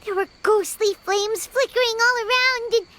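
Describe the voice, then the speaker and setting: A woman voicing a small, childlike, excitable and timid fairy companion in a video game, with a squeaky voice. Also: normal speed, background noise. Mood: uneasy recounting. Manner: refers to self in third person, exclamatory. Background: background music.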